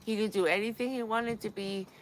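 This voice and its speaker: A woman's voice: medium pitch, steady tone, fairly quick, a little hiss or hum, rough recording. A woman in her fifties, a guest in a casual chat, talking bluntly and swearing freely. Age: 50s.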